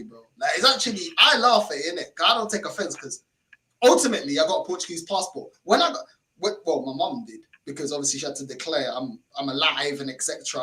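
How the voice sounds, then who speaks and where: A man hosting a live stream, chatting in an animated way and laughing often. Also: sits high, reverberant, low-quality recording. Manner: animated, laughs often, keeps saying bro. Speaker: host of a football watchalong stream. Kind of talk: casual chat on a live stream.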